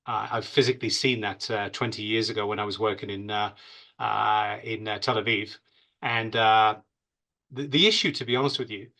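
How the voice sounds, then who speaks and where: A man speaks as an expert guest in an interview. His voice is medium in pitch, bright and forceful. He explains off the cuff, emphatic yet conversational, leaning on stock phrases.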